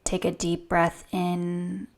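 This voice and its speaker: A woman yoga instructor leading a gentle guided meditation in a soothing, hushed, unhurried way, with a soft voice that turns breathy at times. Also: on the low side, completely flat delivery. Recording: soft music behind the voice. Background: music.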